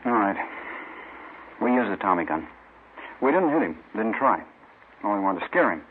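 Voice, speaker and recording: A man playing a surly, tough small-time gangster in a 1950s radio crime drama, husky, defiant and clipped. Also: lowish voice, big pitch swings, moderate pace, slight background noise. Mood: grudging confession. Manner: defiant, clipped street talk. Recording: tinny, band-limited old radio recording.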